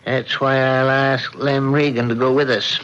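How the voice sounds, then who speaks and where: An actor playing a concerned, cautious husband in an old-time radio mystery, deliberate and insistent. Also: male voice, mid-range voice, level tone, a bit bright, clear, resonant tone, lightly acted. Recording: dull, band-limited radio drama recording.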